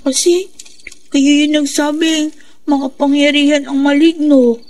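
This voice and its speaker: A timid young girl, with a high childlike voice. She questions things uneasily, in radio horror acting over a noisy background. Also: steady tone, measured pace, a little mellow. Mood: curious, uneasy. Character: frightened, curious young daughter of a healer.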